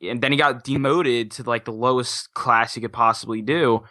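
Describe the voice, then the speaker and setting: A man chats casually on a podcast panel in loose, conversational phrases. His voice is bright and forward at a medium pitch, with a very dry sound. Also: fairly even intonation, normal speed, dry recording. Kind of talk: casual chat.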